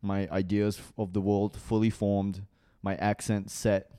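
A man in his thirties, a comedian from Malaysia raised in Singapore, speaking with an international school accent in animated, laughing chat full of swearing. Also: on the low side, a little slow, smooth. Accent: international school English. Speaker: comedian guest. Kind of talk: casual chat.

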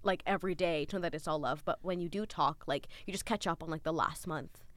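A woman's voice: low voice, quick pace, no room sound. A young woman in a casual podcast chat, talking in an easygoing, slangy way.

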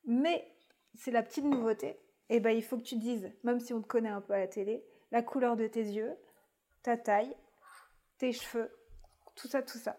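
A woman hosting a casual remote podcast interview, chatty and often pausing with hesitations. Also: on the high side, steady tone, mellow. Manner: chatty, with many hesitations and laughs. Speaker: podcast host. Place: home, remote call.

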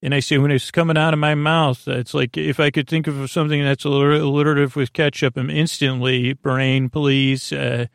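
A man with a gruffish, creaky voice hosting a bedtime podcast in a halting, goofy, meandering monologue that often trails off mid-thought. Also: a little high, little pitch movement, dry recording, clean studio sound. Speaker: host of a bedtime sleep podcast. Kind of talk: rambling, meandering monologue to lull listeners to sleep.

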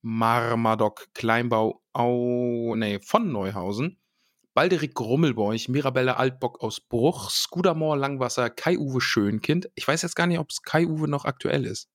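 Man, podcast co-host, playful, jokey, rambling, reading out names, dry close mic, mid-pitched. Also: measured pace, dry recording. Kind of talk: casual podcast chat, reading out listener names.

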